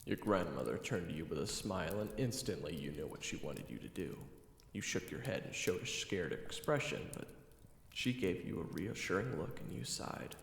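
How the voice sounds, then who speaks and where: A man's voice: sits low, very animated, moderate pace, gravelly, echoey room, big-room sound, slight background noise. A man reading scripted second-person fantasy narration as the storyteller of an audio roleplay.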